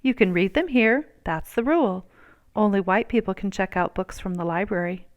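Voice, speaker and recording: A woman voicing a polite but firm female head librarian in a storybook, measured as she explains. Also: mid-range voice, highs cut off, natural voice.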